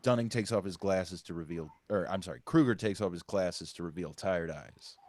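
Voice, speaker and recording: Low-voiced man narrating a script. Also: normal speed, a little mellow. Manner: stumbles and corrects himself while reading. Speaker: narrator reading stage directions. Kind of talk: scripted narration at live table read.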